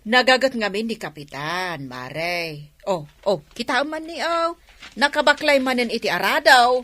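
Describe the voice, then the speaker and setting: A woman's voice: fairly high pitch, animated, normal speed, fairly bright tone. A woman acting in a radio drama as an eager, knowing neighbour, chatting and gossiping. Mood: chatty.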